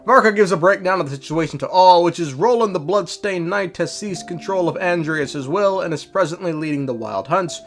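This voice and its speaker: A man's voice: high-pitched, brisk, hardly any pauses, faint music behind. A man giving a casual, irreverent game story recap with sweary, sardonic asides.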